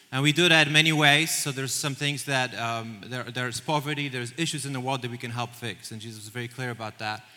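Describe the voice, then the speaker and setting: A man speaks earnestly, walking through numbers and stories with small restarts. His voice is strikingly bright and cutting. It rings out with the long reverb of a large church hall. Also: mid-range voice. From Poland. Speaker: missions leader. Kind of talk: onstage interview in church.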